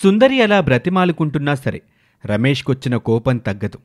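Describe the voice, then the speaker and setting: A man's voice: mid-range voice, big pitch swings, a little fast. A man narrating a scripted dramatic story as its storyteller.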